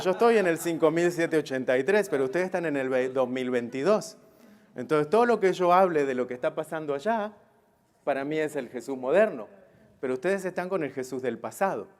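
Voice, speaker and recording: A man teaching a Bible class in a didactic way, posing rhetorical questions and often checking that his listeners follow. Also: a little high. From Israel.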